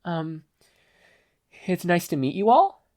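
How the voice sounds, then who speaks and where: A man's voice: high-pitched, big pitch swings, slow pace, a little mellow, dry recording, studio quality, voice pushed up, heavily acted. A man voicing a nervous, polite teenage boy with an awkward, hesitant manner in a fan fiction audio reading. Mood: nervous, alarmed. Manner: hesitant, earnest.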